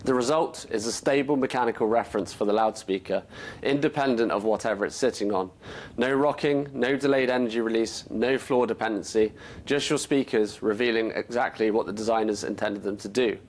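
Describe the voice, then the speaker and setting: A man with a fairly low-pitched voice presents a scripted explainer as a company presenter. His delivery is measured and explanatory, laying out each point in turn.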